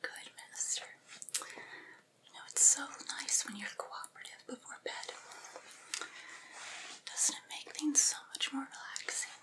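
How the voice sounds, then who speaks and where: Whispering woman, slow soothing ASMR roleplay. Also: high-pitched. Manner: soothing, coaxing, gently reassuring. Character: doting, patient female vampire's familiar soothing their master.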